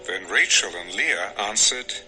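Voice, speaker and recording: A man's voice: fairly low pitch, expressive, a little slow, noisy background. A man narrating scripture from a script as the narrator of an audio drama.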